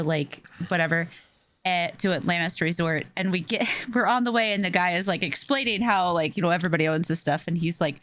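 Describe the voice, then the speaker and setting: A woman's voice: lowish voice, fairly bright tone. A woman podcast host talking in a casual, conversational style. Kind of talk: casual chat.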